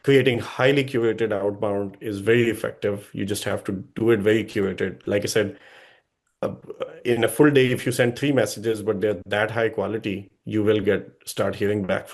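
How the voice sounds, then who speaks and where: A man's voice: fairly low pitch, fairly even intonation, moderate pace. A man giving a talk to business owners as a guest speaker, offering earnest, direct advice and often correcting himself mid-sentence.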